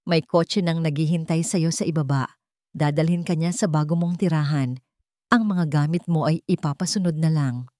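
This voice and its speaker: Casting: cold rich businessman, read by a woman, curt and commanding, controlled delivery, soft-edged tone, radio romance. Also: sits low, steady tone, normal speed, no echo, studio quality, lightly acted. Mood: businesslike.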